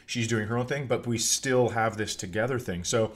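A man's voice: mid-range voice, normal speed. A middle-aged man and podcast host telling casual comic stories in a rambling, profane way.

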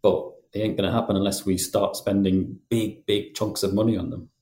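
A man's voice: on the low side, level tone, brisk, warm tone, reverberant. A man with a slight Geordie accent, co-hosting a casual news podcast, talking in a chatty way with frequent restarts. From North East England. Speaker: podcast co-host, journalist.

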